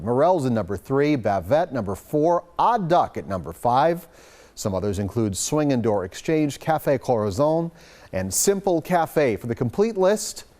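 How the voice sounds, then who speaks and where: A man's voice: medium pitch, a little mellow. A man with an American accent reading scripted local news as a TV anchor in a studio.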